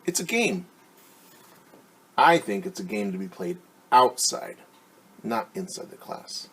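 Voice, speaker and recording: A man who is a teacher reading a picture book aloud to young children, pausing to explain things simply. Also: on the low side, big pitch swings, fairly bright tone, breathy edge. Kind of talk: children's storytime read-aloud with asides.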